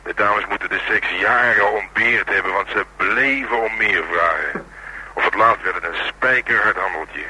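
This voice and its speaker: An actor playing a gleefully morbid murder-squad detective in a Dutch radio drama, telling things with drawn-out, sardonic relish. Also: male voice, lowish voice, background noise, lightly acted.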